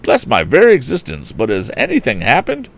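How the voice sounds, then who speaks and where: A man puts on an eccentric storybook gentleman. The voice sits high and swoops with excitement. He blusters good-heartedly, turning nearly everything into an exclamation. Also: fairly quick, creaky, heavily acted. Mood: flustered. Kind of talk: storybook character voice.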